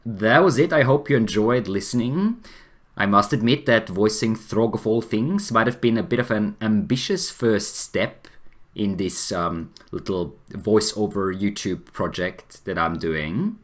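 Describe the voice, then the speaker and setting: A man's voice: on the low side, measured pace. A man presenting his amateur voice acting in an informal, hesitant, self-effacing way. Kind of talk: informal video introduction and sign-off.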